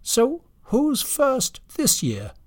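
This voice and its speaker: Storybook reindeer played by a man: proud, pedantic, argumentative, raised pitch, husky edge. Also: wildly swooping pitch, drawn-out words, exaggerated voice. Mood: grudging. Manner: indignant, pedantic.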